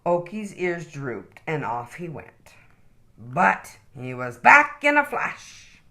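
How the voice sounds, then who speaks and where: A woman reading aloud as a children's storytime host, in expressive storybook narration. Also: low voice, slow pace.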